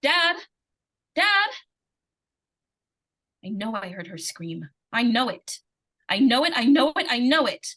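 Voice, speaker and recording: A woman acts an insistent young girl with a high, piercing voice. She pleads urgently and repeats herself in desperation, in the style of 1940s radio suspense. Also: big pitch swings, takes it slowly, pauses often, sharp tone, full character voice. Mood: urgent, pleading.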